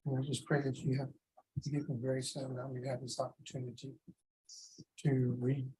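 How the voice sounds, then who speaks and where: A man's voice: medium pitch, monotone, normal speed, dark tone. A middle-aged American man, a defendant making a formal plea in a courtroom. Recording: clearly noisy courtroom recording.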